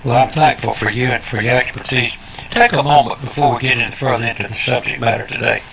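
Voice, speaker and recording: A male interview host introduces and questions his guest with formal, emphatic, preacherly phrasing. His pitch is average for a man, with some creak in the voice. There is faint noise in the recording behind him. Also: fast talker, bright, forceful tone.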